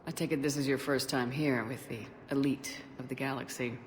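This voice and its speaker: A man playing a resolute, earnest military commander in a science fiction video game, speaking in a firm, measured way. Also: medium pitch, fairly even intonation, slight background noise, close to an everyday voice. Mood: calm, serious.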